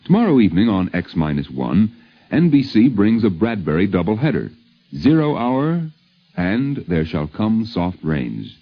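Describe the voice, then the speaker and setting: A man's voice: fairly low pitch, wildly swooping pitch, unhurried. An American man narrating a 1950s radio documentary from a script, with polished, wry, theatrical phrasing. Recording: muffled 1950s radio broadcast.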